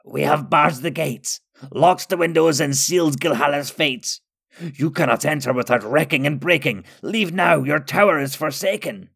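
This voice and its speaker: A man's voice: medium pitch, big pitch swings, slow pace, vocal fry, fairly bright tone, slightly rough, voice pushed up, exaggerated voice. A man voicing a vile monster that cries out chanting rhymes, frightened but defiant. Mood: scared, defiant. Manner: crying out in rhymed, chanting pleas. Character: vile, rhyming monster, terrified yet defiant.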